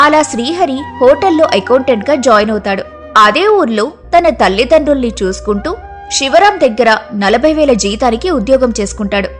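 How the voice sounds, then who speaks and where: A woman narrating a moral story from a script in a storytelling style. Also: high voice.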